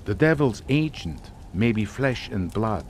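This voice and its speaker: Courtly, menacing count in radio suspense; formal, deliberate, richly varied melody. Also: male voice, fairly low pitch, big pitch swings, darkish tone, slight background noise. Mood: ominous, measured. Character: suave, sinister aristocratic Hungarian count and uncle. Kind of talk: radio suspense drama acting.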